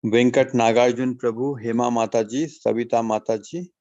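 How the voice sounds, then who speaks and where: A man's voice: mid-range voice, fairly even intonation, moderate pace, a little mellow, no echo. A man moderating an online class over a video call, making polite, formal announcements to students. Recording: slightly dull online call audio.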